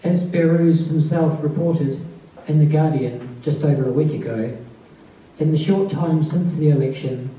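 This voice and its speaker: A soft-spoken man who gives a tribute introduction at a literary event and then reads a short piece aloud. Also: a little high, a little slow. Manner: soft-spoken, calls his voice mousy. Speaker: author giving a tribute reading. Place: festival event space. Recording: distant, dull, very echoey room.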